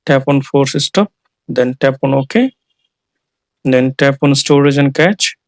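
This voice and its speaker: A man's voice: medium pitch, slow, no echo. A man narrating a scripted step-by-step tech tutorial in short, plain instructional phrases. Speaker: tutorial host.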